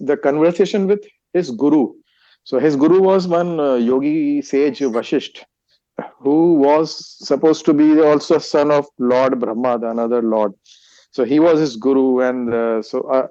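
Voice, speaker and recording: A man's voice: medium pitch, mellow, no echo, dull audio. A man from India with an Indian accent, a Hindi speaker, answering interview questions with frequent restarts and fillers. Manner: halting, many restarts and fillers. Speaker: guest, author and meditation teacher.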